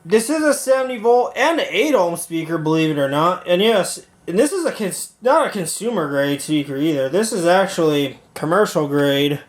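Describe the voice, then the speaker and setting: Higher-voiced man giving a casual gear demo. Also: lively intonation, normal speed, few pauses. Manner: informal, rambling, self-correcting. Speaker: video maker reviewing audio gear. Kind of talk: casual hands-on product demo vlog.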